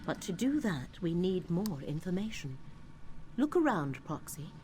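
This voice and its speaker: A woman playing a solemn, cryptic seer in a video game, speaking in a measured, formal and earnest way. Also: fairly low pitch, very animated, dark tone, slight background noise. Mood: resolute. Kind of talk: video game character acting.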